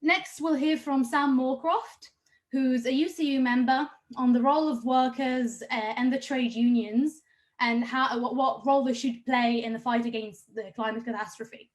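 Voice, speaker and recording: A woman originally from Israel and Palestine, hosting an online meeting from a home computer on a video call and introducing the speakers in brisk, slightly halting announcements. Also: high voice, fairly even intonation. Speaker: host. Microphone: home computer mic on a video call.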